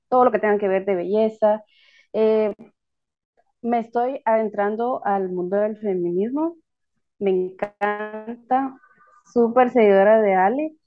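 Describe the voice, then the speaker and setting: Upbeat Guatemalan woman on a tinny line. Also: medium pitch. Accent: Guatemalan Spanish. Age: 20s. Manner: outgoing, upbeat. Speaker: guest.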